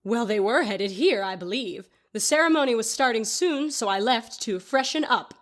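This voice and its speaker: Woman playing prim snooty older lady, kinda high voice, indignant n proper, radio play style. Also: expressive, normal speed, close to an everyday voice. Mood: wistful, prim. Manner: indignant, formal, scolding. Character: haughty, prim, easily offended older lady. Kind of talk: audio drama acting.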